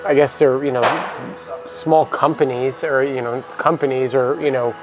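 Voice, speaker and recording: A young man co-hosting a casual talk about coaching baseball, relaxed and filler-heavy, on a lapel mic in a cluttered equipment room. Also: medium pitch, normal speed, over music. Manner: relaxed, many "you know" fillers. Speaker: baseball program coach and co-host. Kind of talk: casual podcast chat.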